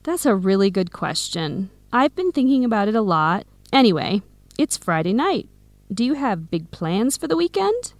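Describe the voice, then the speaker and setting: A woman playing a supportive, hardworking young American friend in a scripted radio drama, conversational and clearly enunciated, with an American accent. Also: medium pitch, sing-song, normal speed, no echo. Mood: earnest, curious. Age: young adult.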